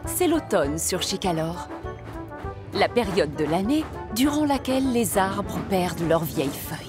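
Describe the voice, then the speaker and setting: A woman narrating a scripted children's story with expressive delivery. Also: medium pitch, a little slow. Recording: music behind the voice.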